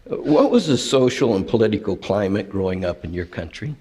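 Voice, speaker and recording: A man with a husky voice, moderating a formal interview with brief, polite prompts. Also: lowish voice, lively intonation, fairly quick, dark tone, long reverb tail, a little hiss or hum.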